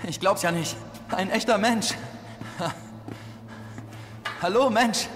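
Jailed informant, high voice, sardonic, coarse, desperate, heavy reverb, audio drama. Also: male voice, takes it slowly, slight background noise. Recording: long hall reverb, loud music bed.

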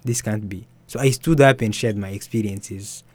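A young man, an author and musician, telling his story softly and hesitantly in an interview. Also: lowish voice, moderate pace, no echo. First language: Swahili. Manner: soft-spoken, hesitant with restarts and fillers. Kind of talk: reflective personal storytelling.

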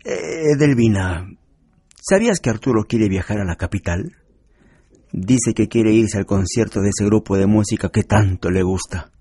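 Husky, concerned Peruvian husband. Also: male voice, lowish voice, expressive, normal speed, dark tone, no room sound. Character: concerned, reasonable middle-aged husband. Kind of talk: radio drama acting.

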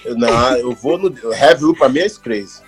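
A Brazilian man in his thirties telling stories in a casual chat, animated, laughing often and mimicking other voices. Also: medium pitch, moderate pace, soft background music. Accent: Brazilian Portuguese. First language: Portuguese. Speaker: actor working as an extra.

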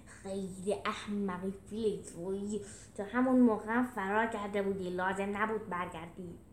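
A woman's voice: medium pitch, steady tone, voice pushed down, natural voice. A woman voicing a grumpy, scornful male animal who growls out exasperated complaints in a storybook reading. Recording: roomy echo with some background noise.

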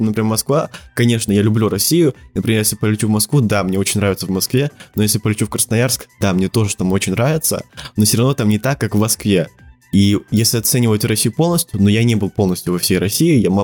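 A man's voice: on the low side, a little mellow. A teenage man from Siberia, a podcast guest talking casually, rambling with lots of fillers and restarts and laughing often. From Siberia, Russia. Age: teens.

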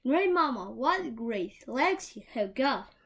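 A woman's voice: a little high, sing-song, takes it slowly, husky, voice pushed up, exaggerated voice. A woman voicing an innocent, polite little country girl in a fairy tale, with a sing-song sense of wonder. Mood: amazed.

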